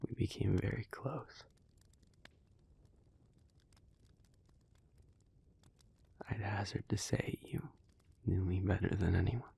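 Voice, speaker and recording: A man playing a gentle, solemn, remorseful demon in an intimate audio roleplay, speaking to the listener in a breathy, halting, earnest near-whisper. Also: sits low, steady tone, full character voice. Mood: solemn, confessional. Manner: slow, halting, earnest, with long pauses.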